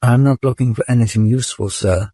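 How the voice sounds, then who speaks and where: A man's voice: mid-range voice, quick pace, warm tone, no echo, studio quality, natural voice. A man voicing an obedient male pesticide robot that answers promptly and formally, always saying sir. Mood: dutiful.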